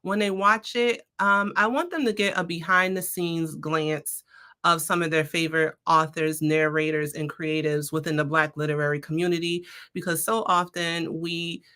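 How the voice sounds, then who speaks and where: A woman's voice: low-pitched, steady tone, normal speed, pushed and bright, clear, resonant tone. A woman speaking as a podcast guest, giving casual, conversational answers in an explanatory, anecdotal way.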